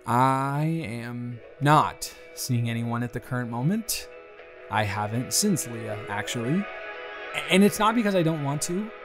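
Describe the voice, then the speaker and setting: A man's voice: mid-range voice, animated, unhurried. A man acting in an intimate audio roleplay as an earnest, conflicted boss, talking in a confiding, hesitant, conversational way. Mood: candid, teasing. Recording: music behind the voice.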